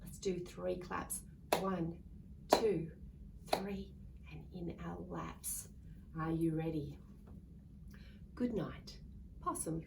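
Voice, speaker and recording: A woman's voice: on the low side, drawn-out words, creaky, long pauses. A woman reading a picture book aloud to young children in a sing-song way, coaxing them to join in. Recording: distant mic with faint background noise.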